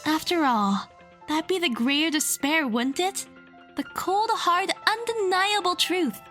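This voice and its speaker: An actress playing a sharp-minded, defiant young woman arguing confidently and methodically in an audio drama trial. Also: very high voice, unhurried, soft background music. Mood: pressing.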